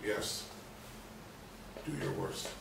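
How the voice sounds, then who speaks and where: An actor playing a subdued, guilt-ridden man recovering from a coma, with halting, effortful speech in a stage play reading. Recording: roomy echo, distant mic, faint noise.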